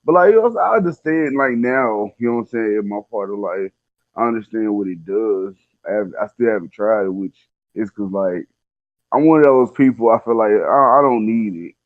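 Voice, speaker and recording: A man in his twenties talks in a fairly low voice, candid and loose with plenty of slang. He rambles casually as he shares personal stories. The recording is dry and slightly dull, with little room sound. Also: expressive, normal speed, dry recording. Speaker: guest telling personal stories. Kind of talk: casual chat.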